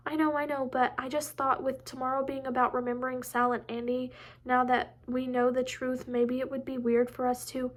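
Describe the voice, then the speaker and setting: A chatty, teasing teenage girl, as a woman reads her lines from a novel. The voice is high and fairly level in tone. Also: level tone, moderate pace. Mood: hesitant.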